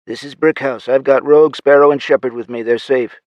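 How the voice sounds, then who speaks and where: A man voicing a wary, gruff male security man talking in clipped radio style. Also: mid-range voice, fairly even intonation, a little fast, darkish tone, dry recording, pitched above the performer's own voice, close to an everyday voice. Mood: guarded. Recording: muffled lo-fi recording.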